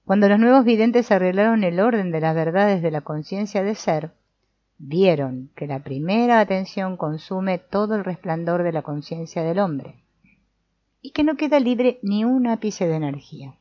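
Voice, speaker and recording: A woman's voice: mid-range voice, lively intonation, no echo, natural voice. A woman reading as an old sorcerer teacher. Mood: explaining. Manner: measured, explanatory reading with small restarts. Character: old male sorcerer teacher, calm and didactic. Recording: dull, muffled audio.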